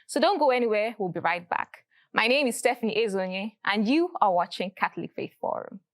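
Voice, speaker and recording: A woman hosting a faith talk show, presenting and interviewing in a clear, measured way. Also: mid-range voice, animated, very noisy. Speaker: host. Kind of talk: scripted show intro and interview.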